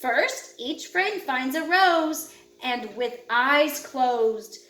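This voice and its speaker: A woman with a high voice narrates a children's picture book. She takes her time, guiding young listeners in a measured, instructional way. The recording sounds roomy and echoey. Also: animated, pushed and bright. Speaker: audiobook narrator.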